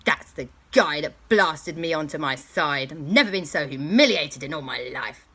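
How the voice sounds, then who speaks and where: A woman's voice: sits low, lively intonation, normal speed, bright, forceful tone, slightly rough, exaggerated voice. A woman voicing the inner thoughts of an angry, humiliated male stegosaurus in a children's story. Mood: indignant. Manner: indignant.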